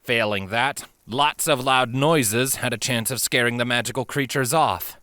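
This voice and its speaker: A man reading scripted fiction as an audiobook narrator, expressive and measured in a dramatic storytelling style. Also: medium pitch, no echo.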